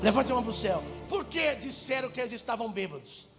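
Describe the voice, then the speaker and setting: A Brazilian Portuguese-speaking male pastor preaching fervently, swinging between shouted lines and quiet asides in a large church. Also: very high voice, animated, fast talker. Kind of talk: preaching a sermon. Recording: hall reverb with faint music.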